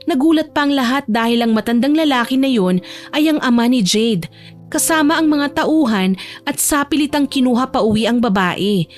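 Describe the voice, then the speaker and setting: A woman's voice: high-pitched, moderate pace, faint music behind. A woman narrating a scripted romantic audio drama as a storyteller.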